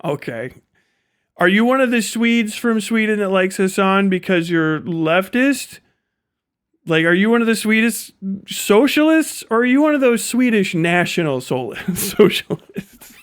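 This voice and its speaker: A man's voice: high voice, lively intonation, unhurried, smooth. A man giving off-the-cuff livestream commentary in a blunt, profane, sardonic way. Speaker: live streamer.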